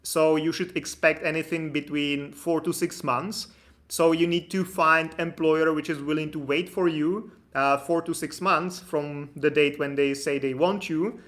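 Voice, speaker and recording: A man's voice: a little high, steady tone, a little slow, a bit bright. A man with a Czech accent, a Czech native speaker, talking casually on a live stream, chatty and joking with viewers. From Czech Republic. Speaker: immigration consultant hosting a live stream.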